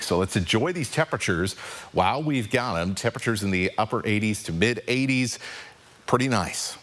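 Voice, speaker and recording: A man with a deep voice giving a relaxed, conversational live weather forecast on morning TV. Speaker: TV meteorologist. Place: TV news studio.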